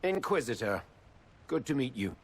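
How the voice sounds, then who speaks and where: An actor playing a stern, politely firm nobleman envoy, speaking in a measured, diplomatic way in a video game. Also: mid-range voice, very animated, husky. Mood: courteous. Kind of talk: video game cutscene acting.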